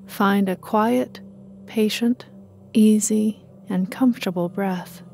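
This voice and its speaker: A woman guiding a meditation in a calm, soothing and measured manner. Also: mid-range voice, gravelly, slight background noise. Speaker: meditation guide. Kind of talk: guided meditation narration.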